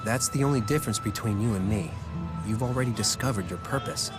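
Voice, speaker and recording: An actor playing a proud but wounded young devotee in a video game, stiff, earnest and dramatic. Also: male voice, lowish voice, brisk, close to an everyday voice. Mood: humbled, determined. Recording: loud music behind the voice. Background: loud background music.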